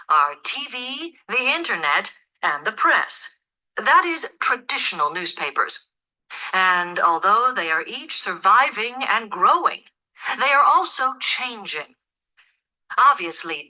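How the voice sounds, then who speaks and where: Bright, expressive woman reading exam material. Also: mid-range voice, poor audio. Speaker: listening test announcer and lecture reader. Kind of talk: scripted exam narration and lecture.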